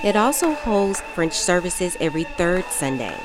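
Low-voiced woman radio reporter. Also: music in the background, poor audio. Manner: measured, even reporting delivery. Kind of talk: scripted news narration.

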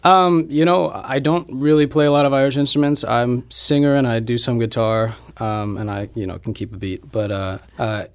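A man's voice: medium pitch, fairly even intonation, normal speed, few pauses, clean tone. A young American man talking casually as a guest in a radio interview. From Indianapolis, United States.

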